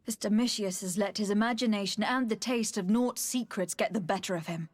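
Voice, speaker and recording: A woman's voice: medium pitch, brisk, dry recording, lightly acted. A woman voicing a noble young game heroine, composed and resolute. Her mid-range voice is recorded dry, and she speaks formally with poised, articulate phrasing. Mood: composed, skeptical. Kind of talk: video game voice acting.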